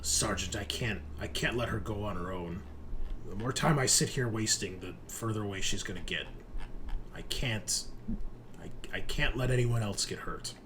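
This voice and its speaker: A man's voice: on the low side, normal speed, lightly acted. A man playing an anxious, determined spaceship crew member in a tabletop game, urgent and stammering. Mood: pleading, determined. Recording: clearly noisy background hiss.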